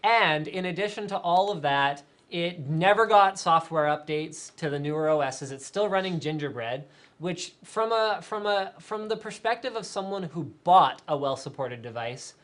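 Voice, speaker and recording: A man's voice: a little high, moderate pace. A man hosting a live tech show, chatting casually and answering viewer questions with lots of fillers. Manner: energetic, chatty, many fillers.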